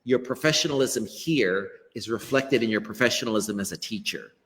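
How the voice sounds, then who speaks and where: A man's voice: lowish voice, expressive, normal speed, fairly bright tone. A middle-aged man with a Western American accent, a language teacher speaking earnestly as a guest over a video call, often restarting his sentences. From western United States. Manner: earnest, emphatic with frequent restarts. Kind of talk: live-stream interview. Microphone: webcam on a video call. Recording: long hall-like reverb.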